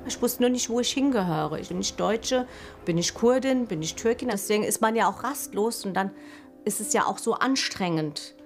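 A woman's voice: mid-range voice, lively intonation, moderate pace. A woman in her thirties talking candidly in an interview, often correcting herself. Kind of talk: personal interview talk. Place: indoor room. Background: music.

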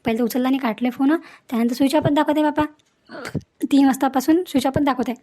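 A woman playing an anxious, soft-hearted village woman in a cartoon family drama, fretful and pleading. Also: high voice, steady tone, quick pace, natural voice.

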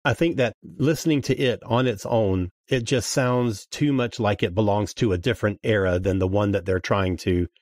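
A man's voice: fairly low pitch, moderate pace, hardly any pauses, darkish tone, no room sound. A man speaking casually as a guest in a podcast review discussion.

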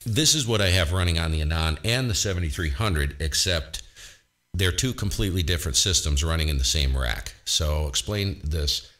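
A man with a boomy borderline baritone voice, casually walking through his ham radio setup at home and explaining what he hears. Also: moderate pace. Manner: relaxed, explanatory, thinking aloud. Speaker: ham radio operator and host. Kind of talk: casual technical walkthrough.

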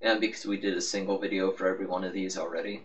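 Man, low voice, casual game walkthrough, offhand and rambling, distant muffled microphone. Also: level tone, racing, a little hiss or hum. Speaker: gaming video host.

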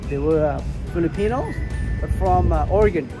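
A man's voice: fairly high pitch, animated, fairly quick, over music. A man in his fifties with a Thai accent, chatting casually in broken English as he films himself with a phone held at arm's length on an outdoor cruise ship deck. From Thailand. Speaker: vlogger. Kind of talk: casual travel vlog.

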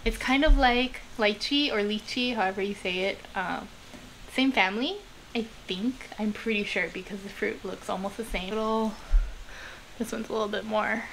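A woman's voice: medium pitch, moderate pace, a bit bright, rough recording. A woman of about thirty chatting casually to camera in a small home kitchen, rambling with many ums and likes. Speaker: art vlogger. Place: small home kitchen-studio indoors, rain outside. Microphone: camera close on the table. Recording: home video with clear rain noise behind. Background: rain noise.

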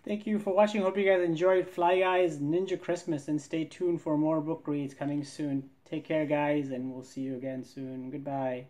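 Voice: mid-range voice, steady tone, moderate pace, few pauses, a little mellow. A presenter speaking to viewers in the outro of a children's book video. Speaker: channel presenter giving sign-off.

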